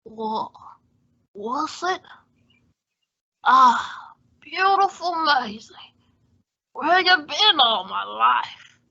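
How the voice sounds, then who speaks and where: A woman's voice: sits high, expressive, drawn-out words, vocal fry, long pauses, sharp tone, lo-fi sound, heavily acted. A woman playing a shady, smug, boozy male con-man doctor in an old-time radio comedy. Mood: drunk, jovial.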